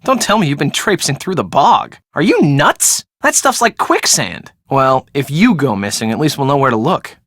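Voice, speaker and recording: A woman's voice: low-pitched, very animated, moderate pace, few pauses, dry recording, studio quality. An actress playing a breezy, sarcastic young woman in a video game, talking in a casual, slangy way. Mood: wry, skeptical. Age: young adult. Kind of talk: video game dialogue acting.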